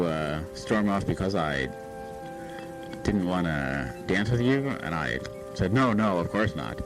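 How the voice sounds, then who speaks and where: A man telling a casual personal story in a hesitant, halting way, with music behind him. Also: sits low, low-quality recording.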